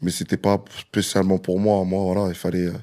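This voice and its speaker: Relaxed lower-voiced man, easy laugh. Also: quick pace. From France. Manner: relaxed, laughing, joking, many fillers. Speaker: guest DJ. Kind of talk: interview.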